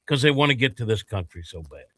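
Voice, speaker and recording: A man co-hosting a sports talk radio show in casual banter, making dry, measured remarks. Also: mid-range voice, sing-song, a bit bright, dry recording.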